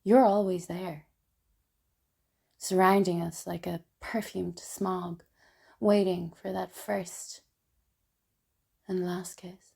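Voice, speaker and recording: A woman acting a melancholy, wryly funny and tipsy character in an intimate, halting theatrical monologue. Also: lowish voice, little pitch movement, vocal fry, darkish tone, husky, full character voice. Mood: wistful, tender. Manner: halting, intimate, dramatic pauses. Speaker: stage actor performing a solo monologue. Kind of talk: confessional theatrical monologue, spoken as a letter.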